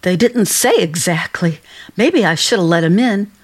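A woman's voice: on the low side, expressive, moderate pace. A woman acting in a radio play as a chatty, solicitous working-class landlady with a folksy, motherly, fussing manner.

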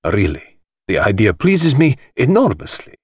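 Theatrical, swooping, sinister party host. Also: male voice, on the low side, wildly swooping pitch, normal speed, husky, no room sound, studio quality, pitched above the performer's own voice, exaggerated voice. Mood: gleeful, excited. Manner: theatrical, hissing, drawling affectation. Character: flamboyant, mocking, sinister middle-aged male party host. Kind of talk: dramatised audiobook dialogue.

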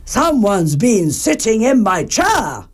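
Storybook papa bear, put on by a woman: big, gruff, growly, slow and emphatic. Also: a little high, sing-song, exaggerated voice. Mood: outraged. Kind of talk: children's storybook character voice.

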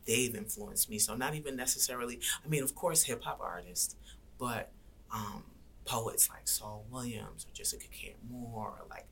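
A woman talking as a guest in an interview, earnest and reflective, sometimes dropping to soft asides. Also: very deep voice, moderate pace, gravelly, slight background noise. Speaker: guest rapper and activist. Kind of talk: conversational interview.

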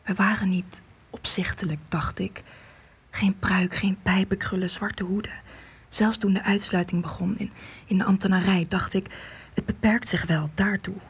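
An actress in a radio play, playing an anguished, guilt-ridden woman in hiding with a secret lover, breathy, intense and pleading. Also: medium pitch, flat delivery, moderate pace. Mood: hushed, regretful.

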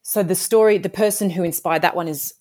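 A woman's voice: on the low side, fairly quick, a little mellow. A woman from Australia, an author, answering interview questions in a thoughtful, meandering way with many fillers and restarts.

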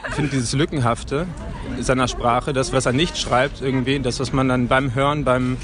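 A man giving a thoughtful, casual spoken review in a halting way. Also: medium pitch, steady tone, crowd noise. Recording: clear ambient street-like noise behind.

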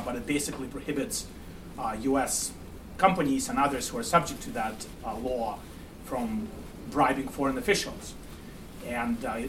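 A man in his forties with a Russian-Ukrainian accent, giving a university lecture in a halting way, full of fillers and repeats. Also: medium pitch. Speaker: guest lecturer. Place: lecture room. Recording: distant mic, room noise.

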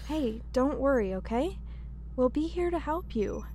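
A woman's voice: sits high, expressive, unhurried, mellow, a little hiss or hum. A woman playing a caring spaceship crewmate in an audio drama, speaking in a soothing, reassuring way. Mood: comforting.

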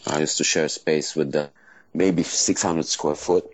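Very deep gravelly man with Israeli accent. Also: steady tone, no room sound, rough recording. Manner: halting, many pauses and fillers. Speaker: martial arts school owner. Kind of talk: casual interview answers.

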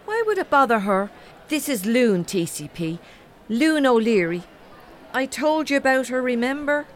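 An actress playing an exasperated, sceptical young Irish woman student, sharp-tongued and incredulous, in a comedy audio drama. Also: high-pitched, sing-song, normal speed. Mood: puzzled.

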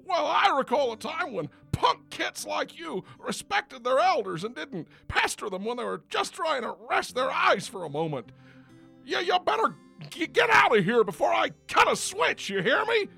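A man voicing a cranky, excitable old rabbit with folksy, theatrical delivery in a spoof radio commercial. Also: extremely high pitch, normal speed, gravelly, faint music behind, exaggerated voice. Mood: cranky, scolding.

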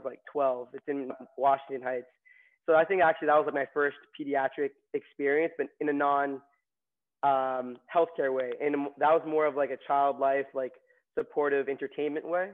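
Young man, from New York, flat delivery, tinny phone-line sound, chatty with fillers. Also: mid-range voice. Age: young adult. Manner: chatty, many fillers and restarts. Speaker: nursing graduate guest. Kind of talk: casual interview storytelling.

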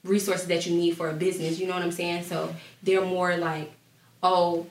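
A woman's voice: fairly low pitch, little pitch movement, moderate pace. A young woman, an entrepreneur, talking casually with many fillers during a panel discussion. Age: young adult. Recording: echoey room, distant mic.

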